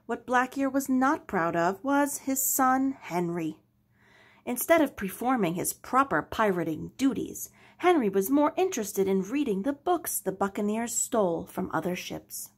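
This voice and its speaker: A woman narrating a children's story aloud with expressive, dramatic delivery. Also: on the high side, unhurried, husky. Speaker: storybook reader.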